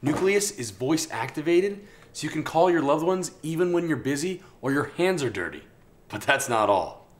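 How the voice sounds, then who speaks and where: Animated man presenting a comic promo. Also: on the high side, normal speed, a little hiss or hum. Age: 30s. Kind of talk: scripted comedic promo presentation to camera.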